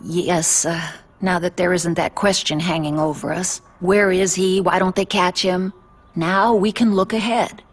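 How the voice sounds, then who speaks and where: An actress playing a caring older widowed aunt in a game drama, gentle but firm, earnest and reassuring. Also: female voice, lowish voice, fairly even intonation, measured pace. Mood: sorrowful, hopeful. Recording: music behind.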